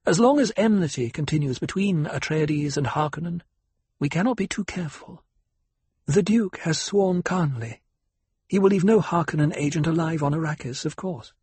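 A poised young noble lady, as a man puts her on, measured and sweetly diplomatic. Her husky tone is pitched a little above his own voice and recorded dry and close. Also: a little mellow, dry recording.

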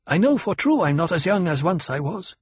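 A man's voice: high voice, very animated, fairly quick, slightly rough, no room sound, voice pushed up, heavily acted. A man voicing an anxious, proud tribeswoman who is no longer young, plaintive and emphatic, in an audiobook.

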